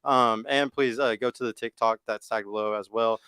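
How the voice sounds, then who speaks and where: A man's voice: medium pitch, steady tone, sharp tone, clean tone, no echo. A man hosting a casual dating podcast, hyped up and cursing freely. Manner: brisk, hype, swears freely. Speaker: dating show host.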